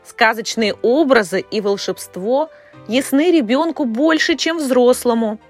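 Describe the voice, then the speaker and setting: A woman's voice: fairly high pitch, measured pace, soft background music. A woman in her forties hosting a podcast, speaking in a friendly way straight to her listeners and drawing out the words she wants to stress. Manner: expressive, lingering on key words. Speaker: podcast host, children's author. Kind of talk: friendly direct address to listeners.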